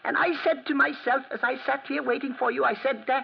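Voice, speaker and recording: Fussy, creaky-voiced little gossip. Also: male voice, extremely high pitch, fairly even intonation, fast, full character voice. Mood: eager greeting. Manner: fussy, stammering, repeats himself. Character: tiny chattering male neighborhood gossip, eager and nosy. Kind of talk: 1940s radio acting. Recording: muffled, noisy 1940s radio recording.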